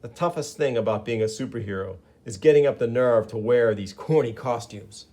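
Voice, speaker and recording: A man's voice: mid-range voice, brisk, a little mellow, lightly acted. A man doing a wisecracking young superhero. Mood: wry. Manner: breezy, sarcastic quips.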